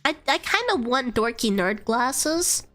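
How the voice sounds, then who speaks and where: A young woman with a high, nasal voice, stuffed up from a cold, chatting loosely on a live stream. She meanders and curses casually, leaning on "like" between thoughts. Soft music plays faintly underneath. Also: sing-song, a little slow. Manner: chatty, swears freely, trails into fillers. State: congested from a cold. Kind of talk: casual rambling stream chat.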